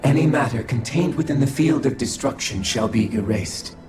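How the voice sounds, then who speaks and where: A man's voice: medium pitch, natural voice. Echoing, coldly logical otherworldly being, played by a man. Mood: detached, formal. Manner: formal, measured, dispassionate delivery. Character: calm, coldly logical, otherworldly male alien observer being. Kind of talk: scripted video game cutscene acting. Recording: echoing voice over background music.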